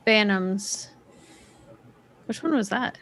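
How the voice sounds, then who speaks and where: A woman's voice: mid-range voice, slow pace, fairly bright tone. A woman on a podcast panel, chatting casually in short reactions and questions.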